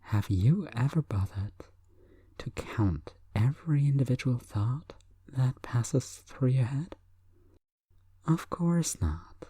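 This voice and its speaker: A woman hypnotist delivering an intimate sleep hypnosis monologue in a breathy, coaxing, deliberate whisper. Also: very deep voice, mellow, no room sound.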